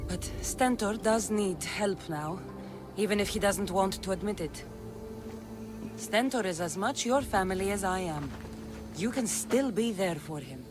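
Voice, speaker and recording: A tough female Spartan mercenary of a video game , played by a woman, speaking bluntly with a caring streak. Her pitch sits in the middle, and music plays softly underneath. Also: lively intonation, measured pace, close to an everyday voice. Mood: firm, reflective. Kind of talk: video game dramatic acting. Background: background music.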